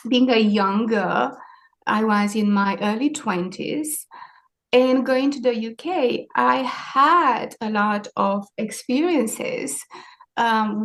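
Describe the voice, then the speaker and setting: A woman in her forties with a Romanian accent, answering interview questions in a reflective, hesitant way. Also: a little high. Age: 40s. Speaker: interview guest, maritime sustainability leader.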